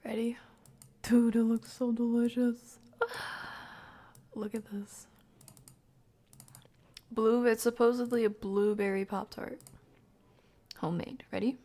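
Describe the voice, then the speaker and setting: A woman hosting a game stream, chatting casually and playfully with viewers in an informal, slangy way, her voice often creaky and sometimes soft. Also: a little high, little pitch movement, slow, long pauses. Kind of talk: casual chat with live viewers.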